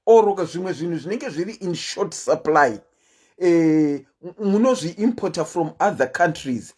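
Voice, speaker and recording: A woman with a Zimbabwean accent and a deep voice, hosting a casual livestream chat and telling stories in a chatty, animated way. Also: a little slow, husky. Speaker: host.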